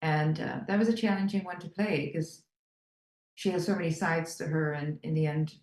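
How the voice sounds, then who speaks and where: A woman's voice: low voice, steady tone, moderate pace, a little mellow, reverberant. An actress whose first language is German, speaking English with a German accent and talking earnestly about her role in an interview. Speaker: actor. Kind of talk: press junket interview.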